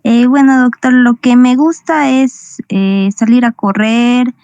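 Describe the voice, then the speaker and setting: Slow, soft-spoken woman student. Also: on the high side, fairly even intonation, no room sound. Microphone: video call. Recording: muffled video call audio.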